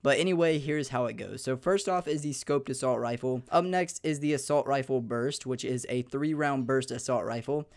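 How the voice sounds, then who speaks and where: A young man hosting a gaming channel, slightly hoarse, talking through a beginner's game guide in an upbeat, chatty way with many fillers. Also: mid-range voice, moderate pace, few pauses. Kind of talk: casual gaming guide talk.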